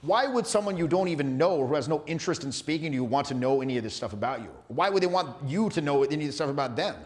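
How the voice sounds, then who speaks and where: Playful coach in a reverberant hall. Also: male voice, on the high side, animated, very fast. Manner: playful, loose, lots of filler words and restarts. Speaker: social skills coach. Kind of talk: energetic live workshop teaching.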